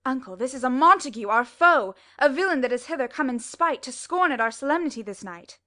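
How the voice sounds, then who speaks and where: A woman's voice: high voice, lively intonation, brisk, bright, forceful tone. A woman playing a hot-tempered, proud young nobleman, delivering Shakespearean verse with clipped scorn. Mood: indignant. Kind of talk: theatrical Shakespearean verse acting.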